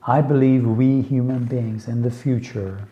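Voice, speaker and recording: A man whose first language is Farsi, giving a slow, earnest lecture for English learners with many pauses and fillers. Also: medium pitch, fairly even intonation, lo-fi sound, a little hiss or hum. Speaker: teacher.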